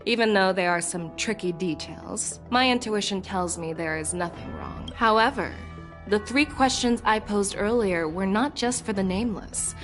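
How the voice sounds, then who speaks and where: A woman's voice: medium pitch, normal speed, a bit bright, faint music behind, lightly acted. A woman voice actor playing a probing, confident female general who questions people formally in a video game. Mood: stern, formal. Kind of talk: scripted video game voice acting.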